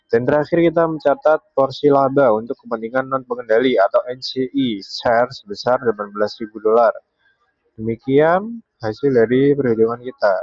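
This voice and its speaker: A man's voice: medium pitch, normal speed, no echo. Dry, dull-sounding young man presenting. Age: young adult. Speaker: university student presenter. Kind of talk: step-by-step explanatory presentation.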